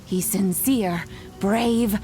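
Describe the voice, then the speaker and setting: A wise, gentle elderly granny in a video game. She speaks slowly and fondly, with a touch of exasperation, at a middling pitch. Music plays softly behind her. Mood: warmly amused. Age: older. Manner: gentle, unhurried, fond.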